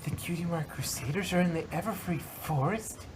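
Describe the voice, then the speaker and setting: A woman voicing a timid, gentle, apologetic female cartoon pony with a hesitant, earnest manner, in a fan fiction reading. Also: lowish voice, normal speed, lightly acted. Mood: worried. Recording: very noisy background hiss and ambience.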